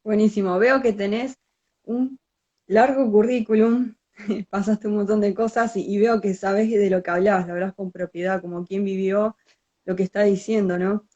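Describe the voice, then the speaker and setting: A young woman with a Rioplatense Argentine accent hosting a casual live-stream interview, informal and quick to laugh. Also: medium pitch, steady tone, mellow, highs cut off. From Buenos Aires province, Argentina. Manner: friendly, laughs often, informal. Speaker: interviewer, host. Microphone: video call.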